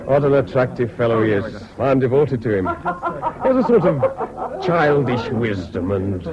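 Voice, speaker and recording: A credulous young English university student in a vintage radio play. He confides earnestly, gushing and hesitating, with a wide, animated swing to the voice. The broadcast is dull, with crowd chatter behind. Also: medium pitch, moderate pace. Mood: friendly, admiring. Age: young adult. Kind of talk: 1970s British radio drama acting.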